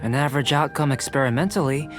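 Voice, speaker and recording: A man voicing a composed young male alchemist in a game, speaking in a measured, precise, academic way. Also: medium pitch, natural voice. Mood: calm, analytical. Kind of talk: game voice acting. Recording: game audio with clear music behind. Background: game music.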